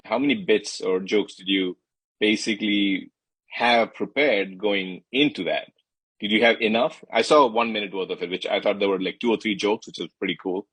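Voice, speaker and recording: A man's voice: fairly low pitch, normal speed, a bit bright, breathy edge, no echo, low-quality recording. A man hosting a casual interview, asking long, rambling questions with plenty of hesitations.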